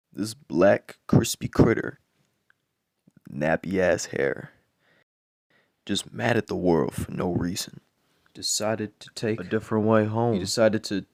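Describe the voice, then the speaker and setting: A man improvising a crude bedtime story in a deadpan, rambling way, with lots of swearing and repeated words. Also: fairly low pitch, dry recording, clean studio sound.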